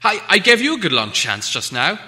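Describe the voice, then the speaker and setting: A man's voice: sits high, very animated, fairly quick, sharp tone, voice pushed up, heavily acted. A man voicing a small, polite but impatient storybook adventurer who speaks in an earnest, emphatic way. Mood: nervous. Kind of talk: storybook character voice.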